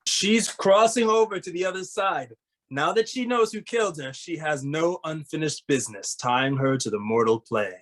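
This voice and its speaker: A man playing a kind, level-headed detective in an animated comedy, sincere and explanatory. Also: on the high side, normal speed, natural voice. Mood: explaining. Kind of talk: animated comedy table read.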